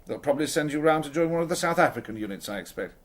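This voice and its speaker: An actor playing a hearty, brisk naval lieutenant in a radio drama. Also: male voice, fairly high pitch, expressive. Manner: hearty, clipped officer talk.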